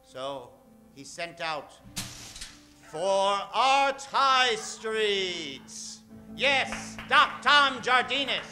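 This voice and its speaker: Male radio announcer for a western, high voice, emphatic old-time delivery with stretched-out names, prominent music underneath. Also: very animated, extremely slow. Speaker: announcer introducing a radio play cast. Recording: music behind the voice.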